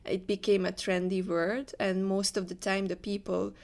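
A woman's voice: medium pitch, level tone, moderate pace, creaky, darkish tone. A woman from Hungary with a Hungarian accent, answering conversationally as an interview guest. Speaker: interview guest, leadership coach.

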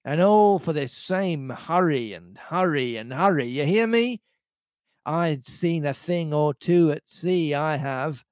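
A man performs a domineering, scheming storybook pirate cook. He speechifies in emphatic old seafaring dialect at a slow, deliberate pace. The sound is close and dry. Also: fairly high pitch, lively intonation, no echo. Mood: scornful. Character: Long John Silver, scheming, domineering pirate sea cook.

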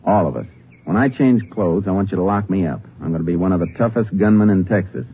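An actor playing a steady, resourceful Texas Ranger in a 1950s radio drama, speaking in a terse, measured way. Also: male voice, deep voice. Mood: calm, decisive. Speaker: lead actor and narrator. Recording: tinny, noisy old radio recording.

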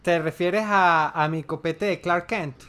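A man's voice: on the high side, steady tone, unhurried, fairly bright tone. A young Venezuelan man co-hosting a podcast in casual, joking chat, with a dry, playful delivery. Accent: Venezuelan Spanish. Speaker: podcast co-host.

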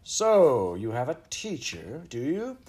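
Storybook queen, played by a man: Elizabethan, hot-tempered, commanding, pitched up and forcefully loud. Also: wildly swooping pitch, slow. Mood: sly, haughty. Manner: commanding, archaic royal speech. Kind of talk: storybook character voice.

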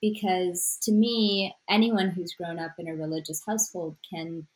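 Soft-spoken woman author, lower pitch. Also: fairly even intonation, moderate pace, poor audio. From Sri Lanka. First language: Tamil. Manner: thoughtful, frequent fillers and restarts. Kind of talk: interview conversation.

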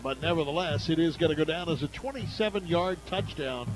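Casting note: high-pitched male play-by-play announcer, brisk game calling, local radio broadcast. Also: music in the background, rough recording.

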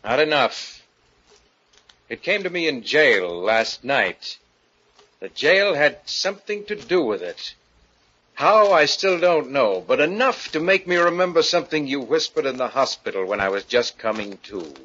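A tormented, obsessed professor husband in a vintage radio thriller. His voice is husky yet forceful, with intense and deliberate phrasing. The old recording sounds dull and muffled. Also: medium pitch, big pitch swings, exaggerated voice. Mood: accusing. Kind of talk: 1940s radio thriller acting.

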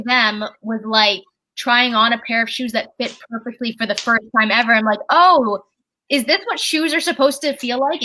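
A person with a very high speaking voice, chatting casually as a guest at home, rambling and halting with lots of fillers and restarts. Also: female voice, pushed and bright, poor audio. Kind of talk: casual chat. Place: at home with a candle lit.

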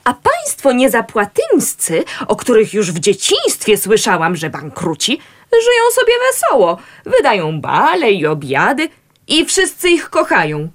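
A woman's voice: on the high side, wildly swooping pitch, measured pace, exaggerated voice. An actress playing a cheerful, carefree young lady engaged to marry, in a radio play.

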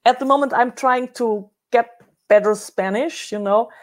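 A woman's voice: a little high, normal speed. Chatty, effusive woman interviewer. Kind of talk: casual conversational interview.

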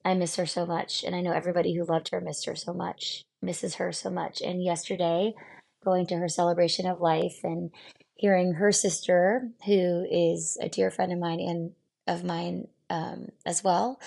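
A woman in her thirties speaks as a therapist on her own podcast, her voice low and gravelly with fry. She is losing her voice, and she talks in a halting, reflective way with plenty of pauses and fillers. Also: flat delivery, a little mellow. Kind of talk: solo podcast talk.

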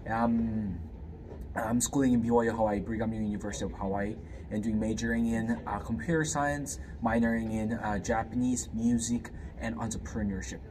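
A man's voice: on the low side, fairly even intonation, moderate pace, a little mellow. A man in his twenties from Fiji, answering interview questions in a hesitant way with many fillers and restarts. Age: 20s. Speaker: guest, student. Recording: distant mic, clear background noise.

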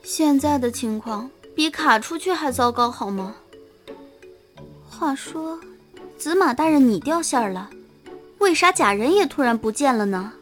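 A woman acting a high-voiced gossipy girl. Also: animated, a little slow. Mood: puzzled. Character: gossipy, teasing young female online singing-room friend. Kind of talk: Chinese online audio drama acting. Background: faint music.

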